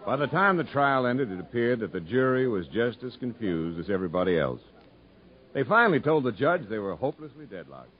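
An actor playing a grave storyteller, narrating a family's past in a 1950s radio drama. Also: male voice, medium pitch, sing-song, fairly quick, lightly acted. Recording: dull 1950s radio broadcast over music. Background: music.

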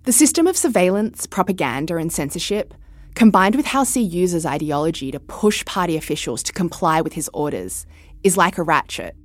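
A woman in her thirties from Australia narrating a documentary podcast as correspondent, measured and reflective. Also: fairly low pitch, expressive, no echo. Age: 30s.

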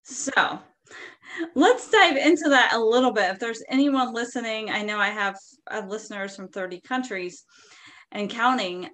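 A woman's voice: a little high, normal speed, fairly bright tone. A woman hosting a podcast, introducing and interviewing her guest with upbeat enthusiasm. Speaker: podcast host.

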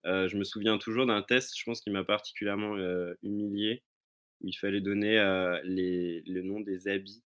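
Guest, young man, very low voice, hesitant and chatty over a remote call. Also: flat delivery, normal speed, a bit bright, clear, resonant tone. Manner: rambling, many fillers and restarts. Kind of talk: casual remote chat.

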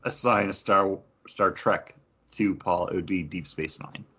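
A man's voice: low-pitched, a little slow, sharp tone, husky. A man co-hosting a podcast, chatting in a casual, rambling, conversational way. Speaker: podcast co-host. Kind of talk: casual chat about comics and beer. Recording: dull, lo-fi podcast audio.

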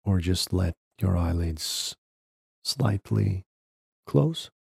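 Deep, slow-talking man with a gravelly voice. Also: animated, no echo, clean studio sound. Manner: gentle step-by-step instruction with long pauses between short phrases. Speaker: podcast host guiding a meditation. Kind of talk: guided meditation.

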